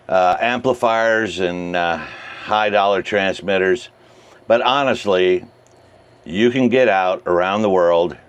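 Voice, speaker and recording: A man giving a casual how-to talk as a hobbyist presenter, speaking in short phrases with frequent pauses. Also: sits low, smooth. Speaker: amateur radio hobbyist presenting a how-to video. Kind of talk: casual explanatory vlog talk.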